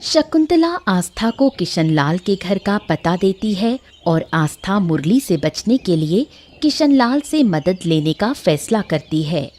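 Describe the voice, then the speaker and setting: A woman's voice: medium pitch, very animated, measured pace, a little mellow. A woman narrator reading a scripted moral story.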